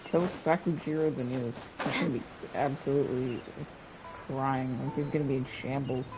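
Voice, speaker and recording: A man's voice: medium pitch, fairly even intonation, a little fast, background noise. A young man chatting casually as he streams live gameplay. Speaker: game streamer. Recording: game music behind the voice.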